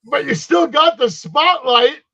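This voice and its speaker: A man in his forties co-hosting a casual wrestling podcast, ranting loudly and slipping into mock wrestler catchphrases. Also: very high-pitched, a little slow, vocal fry, muffled recording, a loud crowd. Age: 40s. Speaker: podcast co-host.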